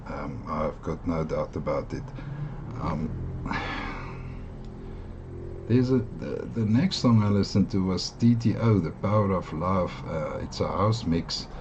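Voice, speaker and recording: A South African man with a very low voice talks through an earphone review in a loose, halting way, full of "um" and "uh" and restarted phrases. His first language is Afrikaans. The recording sounds dull and lo-fi, with a faint hiss underneath. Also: darkish tone. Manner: halting, many fillers and restarts, enthusiastic asides. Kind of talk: casual product review.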